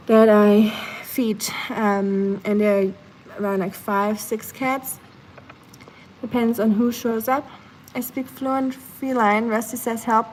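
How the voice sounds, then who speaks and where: A woman's voice: a little high, a little hiss or hum, low-quality recording. Sleepy chatty young woman streamer. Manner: chatty, many fillers, sleepy and relaxed. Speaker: live streamer. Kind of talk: casual live stream chat, reading chat aloud. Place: home kitchen.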